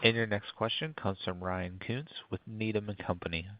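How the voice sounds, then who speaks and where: A man's voice: lowish voice, moderate pace. A man speaking as a conference call operator, making scripted announcements. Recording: dry, slightly muffled call audio.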